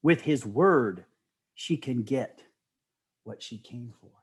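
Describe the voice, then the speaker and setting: A middle-aged man telling stories casually as an interview guest, with an animated, enthusiastic manner. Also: medium pitch, slow.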